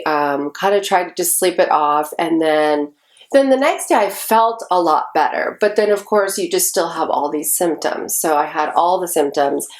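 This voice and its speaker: A woman in her forties, stuffy and congested, chatting casually to the camera in a rambling way with lots of ums. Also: lowish voice. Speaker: vlogger.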